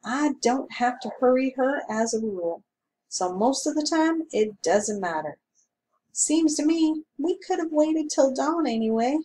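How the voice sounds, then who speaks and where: A woman reads as a grumbling small-town lawman, a middle-aged man with a folksy rural drawl who fills the silence with gab. He sounds higher and louder than the narration around him, and slow-paced. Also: animated, rough recording. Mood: sour. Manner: folksy drawling talk, sour then gabby. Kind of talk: audiobook character reading.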